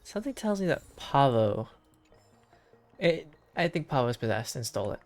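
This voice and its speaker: A man's voice: mid-range voice, big pitch swings, moderate pace. A man streaming a game with casual, joking commentary, rambling playfully as he reacts. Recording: game music behind. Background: game music.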